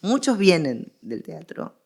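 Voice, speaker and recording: Argentine woman explaining, with a creaky lowish voice. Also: sing-song, takes it slowly, a little mellow. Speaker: interviewed historian and guest expert. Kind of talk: conversational scholarly interview.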